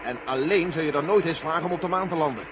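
A man's voice: a little high, quick pace, lightly acted. A male actor playing an earnest military general in a Dutch radio drama, reasoning with urgency. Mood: urgent, persuasive. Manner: urgent, persuasive. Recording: muffled old radio recording, loud noise.

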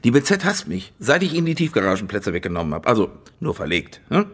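An actor playing a harried, evasive stadium managing director, flustered and nervously jovial, in a radio crime drama. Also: male voice, on the low side, sing-song, quick pace, a bit bright, slightly rough, heavily acted. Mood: weary, aggrieved.

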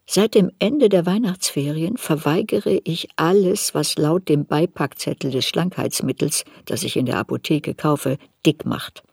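An actress playing a serious woman looking back on her girlhood shame, narrating a literary radio play in a measured, introspective way. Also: low voice, gravelly, darkish tone, slightly rough, dry recording, studio quality, exaggerated voice. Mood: introspective, grave.

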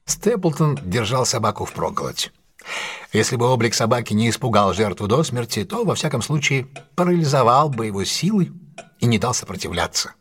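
An actor playing a shrewd detective in an audio drama, laying out a case in a measured, articulate way with deliberate pauses. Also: male voice, mid-range voice, big pitch swings, breathy edge. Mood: analytical, assured. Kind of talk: audio drama acting, expository monologue.

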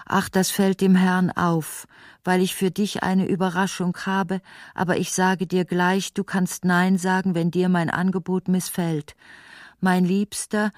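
An actress plays a middle-aged woman locked in a cell, in an intimate radio drama. Her voice sits fairly low, with a very dry studio sound. She speaks with theatrical tenderness and wry self-mockery. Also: level tone, a little slow, no room sound, natural voice. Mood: tender. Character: imprisoned ailing woman of forty-eight, tender, self-mocking, longing. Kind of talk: intimate radio play acting.

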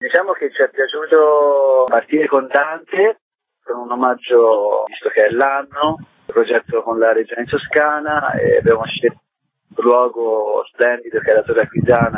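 A man being interviewed by phone on the radio as a festival director, explaining in a discursive way with pauses mid-phrase. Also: mid-range voice, husky, dry recording. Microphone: telephone call to radio. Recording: thin, phone-like narrow-band line.

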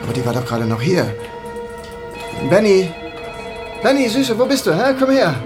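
Excitable, emotional man calling out. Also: sits high, normal speed, over music. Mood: anxious calling. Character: excitable male soap writer, emotional dog owner. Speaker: TV series writer. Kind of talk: radio drama acting.

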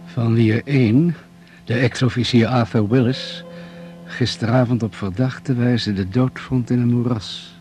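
A man's voice: mid-range voice, fairly even intonation, unhurried, darkish tone, faint music behind, close to an everyday voice. An actor playing a dry, authoritative male doctor leading survivors in a radio drama. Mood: matter-of-fact.

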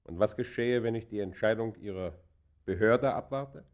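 An actor playing a proud, defiant traveller under arrest in a German radio play, answering in a terse, haughty, guarded and formal manner. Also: male voice, lowish voice, moderate pace, darkish tone, close to an everyday voice.

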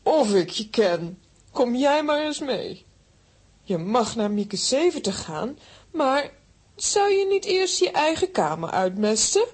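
A woman's voice: on the high side, big pitch swings, takes it slowly, husky, heavily acted. A woman acting a fussy but fond middle-aged aunt in a children's audio drama. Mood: fond teasing.

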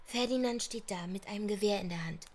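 An actress in a German radio drama playing a young adult daughter, exasperated and pleading, who talks in an urgent, insistent way. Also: female voice, medium pitch, a little fast, darkish tone, natural voice. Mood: careful, descriptive.

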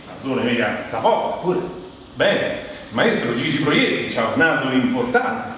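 A man's voice: mid-range voice, big pitch swings, measured pace, a bit bright, slight background noise. A man from Rome with a Roman Italian accent, delivering a humorous theatrical monologue in a conversational, colloquial manner full of asides. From Rome, Italy. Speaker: stage monologist. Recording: very echoey hall, distant mic, music. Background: plucked guitar music.

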